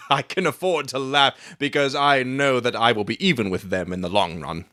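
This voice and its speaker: A man playing a brisk, self-assured Victorian detective in a dramatic audiobook, telling things with confidence. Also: medium pitch, sharp tone, no echo, studio quality, close to an everyday voice. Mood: amused.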